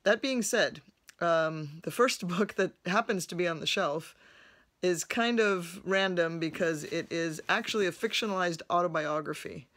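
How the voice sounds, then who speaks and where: Woman from lebanon chatting about a book, calm and thoughtful, lots of ums. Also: fairly low pitch. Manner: thoughtful, measured, with frequent "um"s. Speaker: host. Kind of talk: book review vlog. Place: home library.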